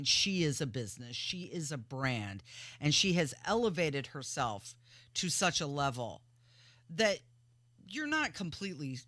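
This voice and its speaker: A female radio co-host chats casually with a bright, clear-cut edge. She makes measured points in a conversational way. The studio sound is dry and close. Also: fairly low pitch, dry recording.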